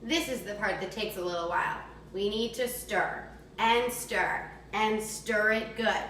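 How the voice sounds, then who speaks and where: Woman, children's cooking instructor: slow, simple, encouraging delivery, recorded at a distance with faint background noise. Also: mid-range voice, a bit bright. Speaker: teacher demonstrating a recipe for children. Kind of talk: step-by-step cooking demonstration for young children. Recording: distant mic, echoey room, faint noise.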